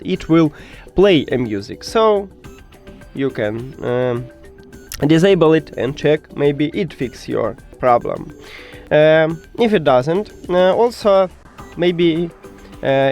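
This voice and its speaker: A man who speaks English as a second language presents a step-by-step tech tutorial, haltingly searching for words at times. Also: on the high side, a little mellow. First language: not English.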